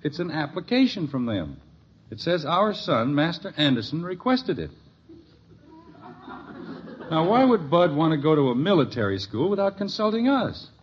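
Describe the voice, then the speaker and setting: Animated middle-aged American sitcom dad. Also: male voice, a little high, normal speed, long pauses. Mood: puzzled concern. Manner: earnest, fatherly, blustering. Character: well-meaning, easily exasperated suburban father. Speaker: actor in a family situation comedy. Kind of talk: 1950s radio sitcom acting. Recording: muffled, noisy old radio recording.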